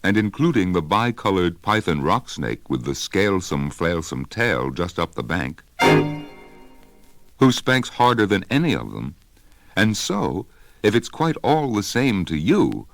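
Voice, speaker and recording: A man's voice: sits low, big pitch swings, unhurried, gravelly, soft background music, full character voice. A man voicing a polite, curious young male elephant calf in a storybook reading, earnest and pleading.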